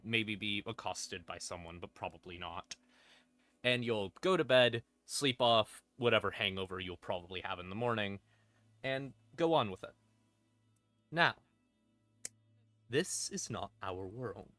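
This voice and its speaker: Slow, bright-voiced man streaming over music. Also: sits low. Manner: casual, swearing, self-deprecating. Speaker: streamer, storyteller. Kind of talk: livestream ramble and atmospheric second-person horror narration. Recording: ambient music behind the voice. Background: creepy ambient music.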